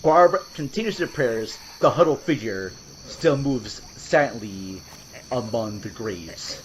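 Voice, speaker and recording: A man's voice: medium pitch, animated, takes it slowly, background noise. A man narrating a scripted horror audio drama. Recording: loud music under the voice. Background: loud music.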